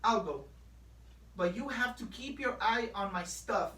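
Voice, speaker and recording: A woman voicing an excitable, cheeky young kitty boy in a theatrical picture book read-aloud. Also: mid-range voice, measured pace. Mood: eager. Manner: exclamatory, theatrical. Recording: roomy, distant microphone.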